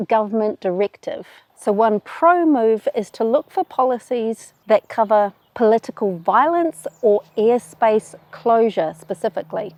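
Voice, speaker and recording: A woman with a New Zealand accent walks viewers through travel news in a brisk, wry, plainspoken way. Her voice sits on the higher side. The recording is dry and close, with no room sound. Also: darkish tone, no room sound. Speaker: travel video host. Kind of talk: scripted explainer.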